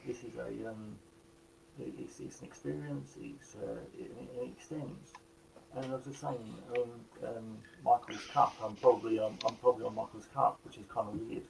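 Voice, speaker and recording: A man with a croaky voice, a guest actor talking in a casual live chat, halting with many restarts. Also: mid-range voice, fairly even intonation, darkish tone, slight background noise, low-quality recording.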